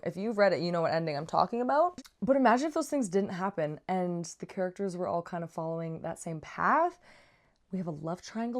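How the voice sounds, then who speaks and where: A woman giving a casual, chatty book review with slangy asides and playful, dramatic emphasis. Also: on the low side. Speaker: book reviewer, vlog host.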